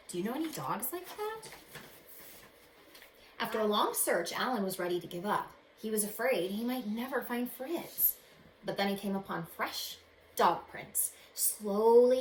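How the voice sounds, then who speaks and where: A woman's voice: medium pitch, lively intonation. A woman reading a children's storybook aloud with dramatic expression, as if to young listeners. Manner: dramatic, pausing to ask young listeners questions. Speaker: teacher reading a picture book aloud to children. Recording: roomy echo, faint background noise.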